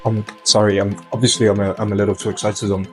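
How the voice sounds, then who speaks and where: A man's voice: lowish voice, flat delivery, fast, faint music behind. A man playing a tech team member in a comedy sketch, nervous and giggly, stumbling over "um" fillers.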